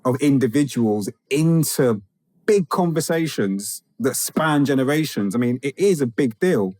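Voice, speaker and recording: A man's voice: mid-range voice, lively intonation, measured pace, dark tone, dry recording. A man from England, a teacher and author, speaking reflectively as a podcast guest, often restarting and building emphasis. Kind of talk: conversational interview.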